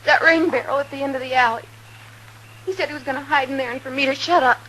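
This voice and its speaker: Scared hurt western girl pleading, high sharp voice, hissy old radio drama. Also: steady tone, fast, poor audio. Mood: distraught, pleading. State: in pain, distraught. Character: frightened, wounded young frontier woman, pleading. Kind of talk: 1950s radio western melodrama acting. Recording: hissy, humming 1950s radio recording.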